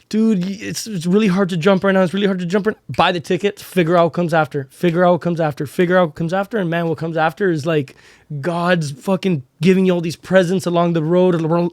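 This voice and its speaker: A hoarse man in his twenties, chatting casually on a podcast, rambling and slangy with lots of fillers. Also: high-pitched, no room sound. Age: 20s. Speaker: podcast host. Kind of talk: casual chat.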